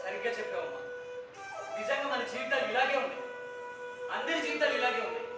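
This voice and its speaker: A man's voice: extremely high pitch, fast. A man on stage playing an earnest young village theatre director who rallies his troupe, heard from far off in an echoey hall with music. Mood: rousing. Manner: earnest, defiant, rousing. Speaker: stage actor. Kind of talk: live stage play acting.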